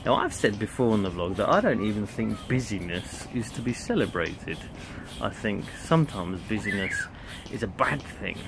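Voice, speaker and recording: A man's voice: lowish voice, expressive, moderate pace. A man in his thirties talking casually to camera as a camp vlogger, outdoors on a windy campsite. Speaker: vlogger and youth camp leader. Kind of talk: casual chatty vlog narration. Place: outdoors on a campsite, under a tent awning. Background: wind and outdoor ambience.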